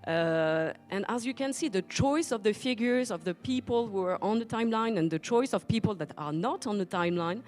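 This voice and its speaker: A woman's voice: mid-range voice, expressive, a little fast, few pauses, darkish tone. A woman giving an explanatory presentation, pointing out examples to her audience.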